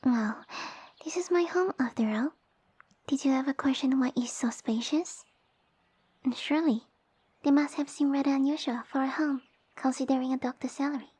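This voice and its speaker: A woman voicing a small, sassy female lamia who rules her village, speaking softly and breathily with formal, imperious phrasing in an ASMR roleplay. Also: high voice, normal speed, pauses often, dry recording, natural voice. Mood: commanding, smug. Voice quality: soft, breathy, whispery. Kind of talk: ASMR roleplay audio addressed to the listener.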